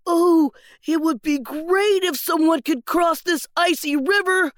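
A woman's voice: very high-pitched, a little slow, dry recording, studio quality. A woman voicing a timid, silly little boy pig who speaks hesitantly and stammers, in a children's bedtime story.

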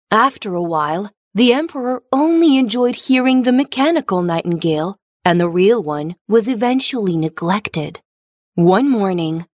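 A woman narrates a fairy tale for children. Her voice sits at a medium pitch and follows a script in storybook fashion. The recording is dry, with no room sound. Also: no echo.

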